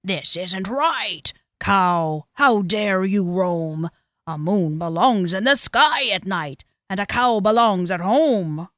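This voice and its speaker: A woman voicing an indignant male farmer in a storybook, scolding with drawn-out emphasis. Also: medium pitch, expressive, fairly bright tone, no echo, studio quality.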